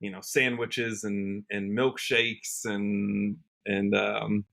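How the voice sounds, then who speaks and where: Casting: young American man, bright clear-cut tone, upbeat, conversational podcast guest. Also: lowish voice, flat delivery, slow. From Washington state, United States. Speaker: podcast guest, country musician. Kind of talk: casual interview chat.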